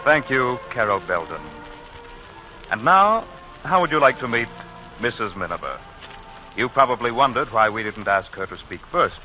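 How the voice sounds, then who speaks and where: A man's voice: mid-range voice, very animated, moderate pace, pauses often, over music, poor audio. A man announcing as a radio host in a formal, theatrical 1940s style, on an old recording.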